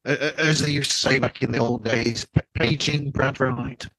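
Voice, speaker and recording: A man's voice: medium pitch, steady tone, normal speed, fairly bright tone, dry recording, rough recording. Male podcast host, dry close sound. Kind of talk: casual interview chat, brief listening responses.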